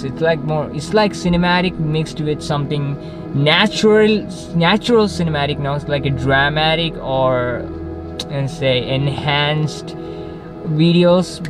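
A young man from Kerala with a Malayali accent, talking unscripted to a camera in a parked car, restarting and correcting himself often. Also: on the high side. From Kerala, India. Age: young adult. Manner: rambling, frequent restarts and self-corrections. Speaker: vlogger. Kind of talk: unscripted casual talk to camera. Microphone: camera on the dashboard facing him. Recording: background music under the voice. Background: background music.

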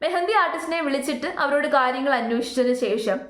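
A woman's voice: high-pitched, quick pace. A woman delivers opinionated commentary. She presses her points with repetition and rhetorical questions. The sound is roomy and echoing. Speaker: YouTube commentator.

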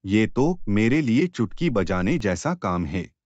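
Cartoon genie, played by a male narrator: brash, generous, slangy, very animated pitch, unhurried, dry sound. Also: no room sound, muffled recording. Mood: boastful. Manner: slangy, reassuring, boastful. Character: blue male forest genie, brash but generous helper.

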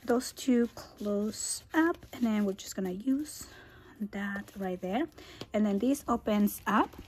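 A woman hosting a scrapbooking tutorial, hoarse and half-whispering as she loses her voice, chatty with small laughs as she walks through her album. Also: medium pitch, lively intonation, slow pace, a little mellow, slight background noise. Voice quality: husky. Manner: casual, chatty, laughs between phrases. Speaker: scrapbooking channel host. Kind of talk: craft tutorial walkthrough. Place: indoors at a craft table.

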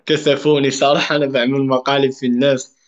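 A man in his twenties from Morocco talks in a casual, teasing way. His voice sits at an average pitch, and the sound is slightly dull and lo-fi, like a phone video chat. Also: little pitch movement, fast, slight background noise. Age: 20s. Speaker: prank show host. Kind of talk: casual chat with bursts of amateur singing. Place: small bright room indoors. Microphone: phone at arm's length on video call.

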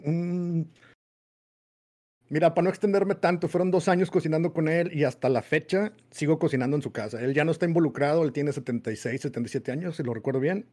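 A man's voice: mid-range voice, a little mellow. Mexican man, 40s, chatty guest on a video call, filler-heavy storyteller. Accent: Mexican Spanish. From Monterrey, Mexico. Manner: rambling, with many "eh" and "este" fillers. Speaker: guest homebrewer. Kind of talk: storytelling interview answer. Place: home room. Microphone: desk mic on video call.

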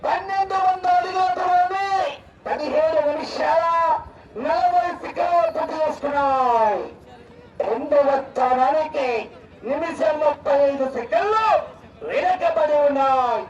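A man giving excited, exclamatory live sports commentary over an outdoor public address. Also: very high-pitched, a little slow, lo-fi sound. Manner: excited, exclamatory, calling out times and cheering on competitors. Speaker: live announcer at a rural bullock-pulling contest. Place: outdoor event ground. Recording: distant, echoing outdoor PA, faint crowd. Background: faint crowd.

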